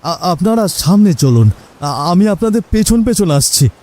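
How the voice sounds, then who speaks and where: A man's voice: sits high, very animated, a little slow, dark tone. A man playing an anxious, insistent stranger who pleads with people in a village horror cartoon. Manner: beseeching, insistent. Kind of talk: Bengali horror cartoon dubbing.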